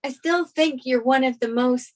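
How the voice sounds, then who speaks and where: Young female sitcom lead, actress reading, high voice, apologetic, rambling, anxious. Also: moderate pace, darkish tone, natural voice. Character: apologetic, anxious young woman who fled a fiancé. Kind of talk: sitcom script table read.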